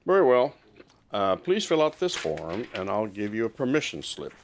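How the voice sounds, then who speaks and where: Sheriff's deputy, played by a man: deepish, animated, obliging, courteous audio drama delivery. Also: moderate pace, slight background noise. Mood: helpful. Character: courteous, helpful small-town male sheriff's deputy.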